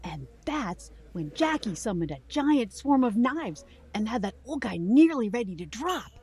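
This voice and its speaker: Actress as a slow, animated, pointed woman. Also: fairly high pitch. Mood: playful. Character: sharp, playful, concerned woman on a date. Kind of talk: audio drama dialogue.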